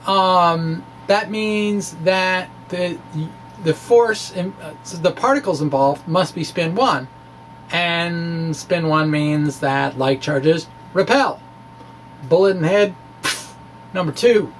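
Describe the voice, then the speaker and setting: A man giving a dramatic explanatory reading as a presenter, halting and hesitant, with emphatic turns. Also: sits high, a little hiss or hum, poor audio. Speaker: amateur physicist presenting his blog. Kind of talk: dramatic reading of a physics blog, explanatory talk.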